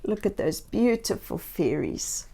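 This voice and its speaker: Slow, gentle woman reading to children. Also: mid-range voice, very animated, vocal fry, slight background noise. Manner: gentle, theatrical, talking directly to young listeners. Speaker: children's story reader and host. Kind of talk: expressive children's read-aloud storytelling.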